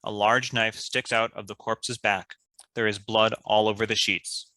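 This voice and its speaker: A man's voice: on the low side, little pitch movement, measured pace, pushed and bright, dry recording. A man reading stage directions as scripted narration for a screenplay.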